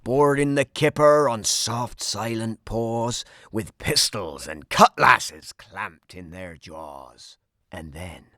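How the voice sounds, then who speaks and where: A man reading a rhyming children's story aloud in an animated, dramatic way. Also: lowish voice, no echo, clean studio sound. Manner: theatrical, dramatic delivery. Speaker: storybook narrator.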